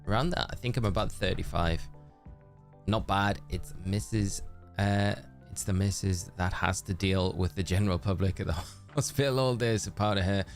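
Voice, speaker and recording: A man's voice: sits low, normal speed, soft background music. A man chatting casually on a live stream, greeting viewers by name and calling them mate. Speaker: streamer reading live chat. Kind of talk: casual live-stream chat while shiny hunting.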